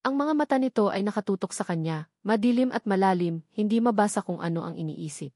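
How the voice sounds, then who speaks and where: Female audiobook narrator, medium pitch, scripted drama delivery, emphatic phrasing, very little room sound. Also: animated, fairly quick, a little mellow, dry recording, clean studio sound.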